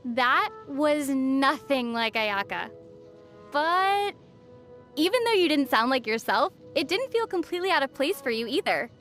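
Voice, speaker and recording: A woman playing a young female adventurer in a video game, gentle and fondly teasing. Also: high voice, very animated, unhurried, soft background music, heavily acted. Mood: wry. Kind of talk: video game character dialogue.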